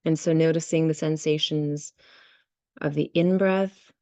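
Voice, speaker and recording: A woman leading a guided visualization and meditation, speaking gently and unhurriedly in short phrases with many pauses. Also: low voice, steady tone, clear, resonant tone, no echo. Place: online video session.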